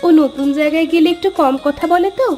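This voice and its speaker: Woman acting a high-voiced, fussing village wife. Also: quick pace, darkish tone, soft background music, natural voice. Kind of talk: Bengali moral story cartoon voice acting.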